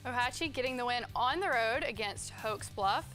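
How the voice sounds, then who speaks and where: A woman's voice: on the high side, lively intonation, normal speed, a bit bright, faint music behind. A woman co-hosting a sports show, reading football scores from a script.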